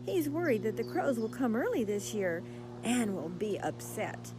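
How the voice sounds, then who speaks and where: A woman's voice: on the high side, big pitch swings, moderate pace, background noise. A woman playing a teasing, skeptical schoolgirl in an audio horror drama, breezy and dismissive. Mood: casual, concerned.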